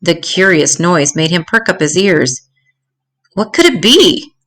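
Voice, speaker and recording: An older woman reading a rhyming children's story aloud in an expressive, dramatic, deliberate way. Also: fairly low pitch.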